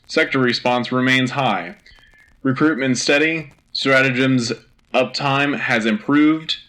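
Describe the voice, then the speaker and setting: Guy acting as war radio anchor, crisp forceful voice, dramatic clipped news bulletins, solemn and earnest. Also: mid-range voice, slow pace, low-quality recording. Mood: urgent, resolute. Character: Joe Turner, earnest propaganda-era war news anchor. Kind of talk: scripted wartime news broadcast acting.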